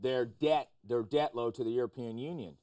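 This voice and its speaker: A man hosts a discussion of the news in a mid-pitched voice. He talks in an interview style, probing with questions and often stepping in to clarify. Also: moderate pace, dark tone. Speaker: talk show host.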